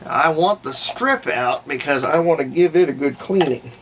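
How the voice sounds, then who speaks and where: A man's voice: a little high, normal speed, a bit bright. A man giving casual, unscripted workbench commentary, thinking aloud as he works through a hobby restoration. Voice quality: gravelly, creaky. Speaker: hobbyist restorer presenting a repair video. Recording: clear background noise.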